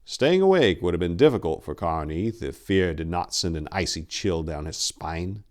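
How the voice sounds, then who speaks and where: A man giving a solemn, deliberate dramatic reading of a dark fantasy horror story, in a theatrical manner. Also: sits low, animated. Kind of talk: dramatic audiobook narration.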